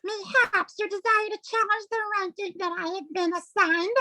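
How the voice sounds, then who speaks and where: Pompous cartoon Doberman pack leader, extremely high and squeaky, bright, stilted grand diction. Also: fairly even intonation, normal speed, no echo, heavily acted. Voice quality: squeaky, as if on helium. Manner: pompous, stilted formal wording. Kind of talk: animated film script table read.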